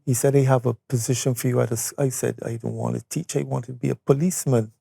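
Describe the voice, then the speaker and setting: Gravelly, mellow older Barbadian man. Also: mid-range voice, fairly even intonation, quick pace, no echo, clean studio sound. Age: 70s. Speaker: interview guest, retired or veteran educator. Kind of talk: conversational reminiscence. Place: studio.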